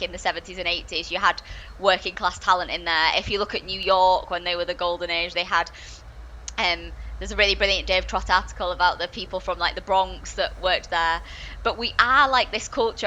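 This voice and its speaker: Bright Yorkshire woman talking frankly. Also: medium pitch, slight background noise, low-quality recording. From Yorkshire, England. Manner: earnest, chatty, with many restarts. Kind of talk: casual discussion.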